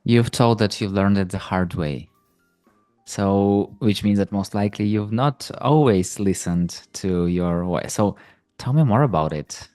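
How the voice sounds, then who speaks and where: A man's voice: lowish voice, unhurried, dark tone, dry recording, faint music behind. A man hosting a podcast interview, asking curious follow-up questions. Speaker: podcast host.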